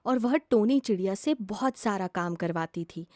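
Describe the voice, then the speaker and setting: A woman's voice: mid-range voice, animated, normal speed, mellow, dry recording, studio quality. A woman narrating a scripted children's story as a storyteller.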